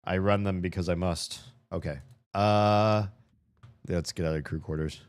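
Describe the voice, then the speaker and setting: A young man giving casual, profane running commentary while playing a video game, his voice slightly hoarse. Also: low-pitched, steady tone, measured pace, no room sound. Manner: chatty, swears a lot, talks to himself while playing.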